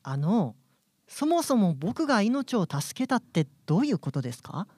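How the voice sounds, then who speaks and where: A man's voice: high voice, big pitch swings, moderate pace, darkish tone, slightly rough, no room sound, clean studio sound, heavily acted. A man playing a polite, easily flustered young man as the bewildered straight man in a radio comedy drama. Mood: puzzled, polite.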